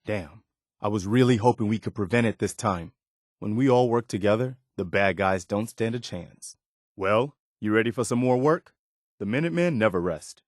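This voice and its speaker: Male actor as dutiful militia lieutenant, man, lowish pitch, earnest, plainspoken, principled, dry studio sound, post-apocalyptic game dialogue. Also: normal speed, dry recording, natural voice. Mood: steadfast. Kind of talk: video game character dialogue.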